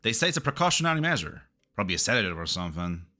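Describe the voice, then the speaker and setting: Fast, bright, offhand male rebel, acted by a man. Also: on the low side, big pitch swings. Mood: offhand, loyal. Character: casual, loyal male rebel underling. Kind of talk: English fan dub of a game story.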